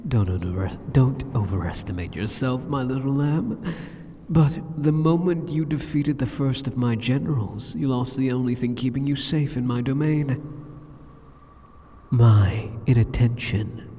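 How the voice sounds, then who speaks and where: A man's voice: mid-range voice, a little slow, mellow, slightly rough. A man voicing an arrogant, sadistic demon king in an ASMR roleplay, with a mocking, condescending and teasing manner. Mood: gloating. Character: sadistic, arrogant male demon king taunting a captive hero. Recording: long cavernous hall reverb.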